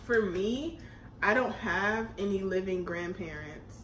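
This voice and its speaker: A woman in her mid-twenties from Houston co-hosting a casual Q&A while eating, relaxed, chatty and quick to laugh. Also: medium pitch. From Houston, Texas, US. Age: 20s. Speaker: YouTube co-host. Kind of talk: casual chat during a mukbang Q&A. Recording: echoey room with faint noise.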